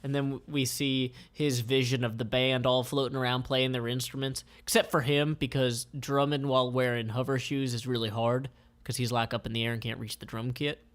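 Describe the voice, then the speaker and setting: A man reading a comic aloud informally, describing panels in a halting, conversational way. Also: mid-range voice, flat delivery.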